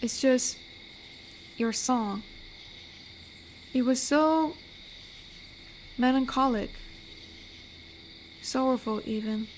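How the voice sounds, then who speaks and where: Woman as a slow-talking, amiable female werewolf. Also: high-pitched, slight background noise, low-quality recording. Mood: tender. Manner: chatty, gentle, slightly awkward. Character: amiable, rambling female werewolf, protective forest guardian. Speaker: voice actor in an audio roleplay. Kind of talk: intimate scripted audio roleplay. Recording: night cricket ambience behind voice. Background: crickets and forest night sounds.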